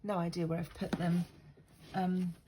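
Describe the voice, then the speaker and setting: A woman's voice: on the low side, flat delivery, a little slow, noisy background. An older woman chatting casually while crafting, stuffy and congested from a lingering cold, rambling and self-deprecating. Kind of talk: casual crafting tutorial chat. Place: indoors at a craft table. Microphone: overhead camera above craft mat.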